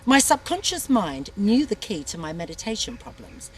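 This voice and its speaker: A woman's voice: medium pitch, big pitch swings, normal speed, a little hiss or hum. A woman narrating comically in a haughty, dramatic way. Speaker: author reading a book aloud in character.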